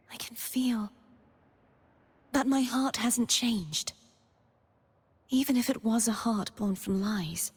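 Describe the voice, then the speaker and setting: A woman playing a gentle, earnest, solemn young knightly maiden in a video game, speaking softly in a scripted monologue. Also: mid-range voice, slightly rough. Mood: solemn, sorrowful. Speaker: game character voice actor. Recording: long reverb on the voice.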